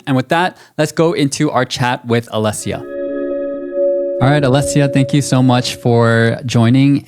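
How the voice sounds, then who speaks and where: A man with a plain middle-pitched voice hosts a finance podcast. He reads his opening with an upbeat lift. When he asks questions, he talks more loosely and hesitates. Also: a little mellow. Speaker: podcast host. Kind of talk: scripted intro and interview questions.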